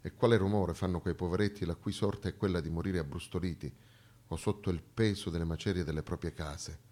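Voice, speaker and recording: A man's voice: low voice, steady tone, a little mellow. Man narrating history in theatrical style. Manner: declamatory, deliberate, storytelling delivery. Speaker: stage actor and narrator.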